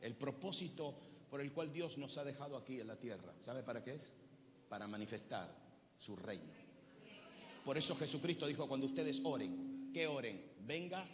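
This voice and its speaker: A pastor in his fifties preaching a sermon to a congregation, throwing questions at them and swinging from loud bursts to quiet, low lines. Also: animated, moderate pace. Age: 50s. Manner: preaching with call-and-response questions, swinging from shouts to low tones. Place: large church hall. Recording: church hall reverb, faint noise.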